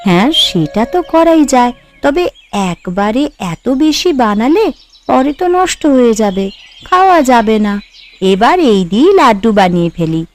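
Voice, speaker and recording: A woman voicing a young, chatty, deferential daughter-in-law in a cartoon, sweet and animated. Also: fairly high pitch, normal speed, a little hiss or hum. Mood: cheerful. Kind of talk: Bengali cartoon voice acting. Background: background music.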